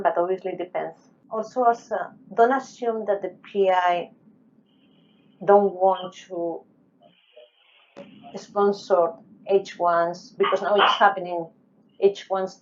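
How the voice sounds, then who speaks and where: A woman giving emphatic advice in a conversational panel interview, in halting, non-native English phrasing. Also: lowish voice, darkish tone. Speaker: senior researcher guest advising young investigators. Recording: dull, lo-fi online call audio.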